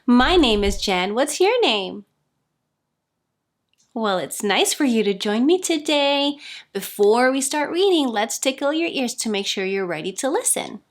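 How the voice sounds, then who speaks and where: A woman's voice: a little high, sing-song, unhurried. A woman hosting a storytime for children, reading aloud expressively with sing-song emphasis.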